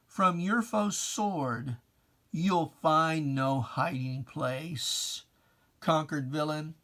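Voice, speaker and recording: A reciter performs religious verse as a dramatic reading at a very unhurried pace. The delivery is solemn and declamatory, with emphatic phrasing and old-fashioned diction. The voice sits at a medium pitch. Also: a bit bright. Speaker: poetry reciter.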